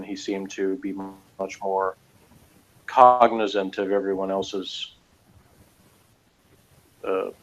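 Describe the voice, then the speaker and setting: A man chatting casually as a reviewer, talking in a rambling, halting way. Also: sits low. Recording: dull lo-fi audio.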